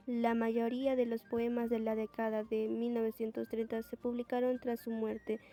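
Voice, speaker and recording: Flat, mellow woman reading narration. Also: a little high, normal speed, faint music behind. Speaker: presenter. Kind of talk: scripted biographical narration.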